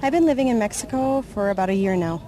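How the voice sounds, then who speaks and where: A woman with an American accent answers interview questions. Her voice is mellow and of medium pitch. A faint ambient noise sits in the background. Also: expressive, fast talker. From Minnesota, United States.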